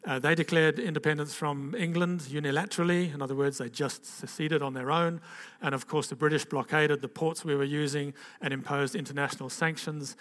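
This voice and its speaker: A man's voice: a little high, fairly even intonation, fairly quick, fairly bright tone, long reverb tail. An older man gives an informal personal testimony to a gathering. He talks in a rambling, conversational way, with restarts and frequent "okay?" asides. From Zambia and Zimbabwe. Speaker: speaker giving a personal testimony.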